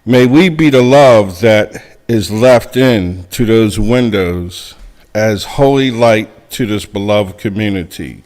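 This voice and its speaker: A man co-leading a church service, reading the call to worship and chalice lighting in a measured, solemn way. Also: on the low side, darkish tone. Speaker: service co-leader. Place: church sanctuary.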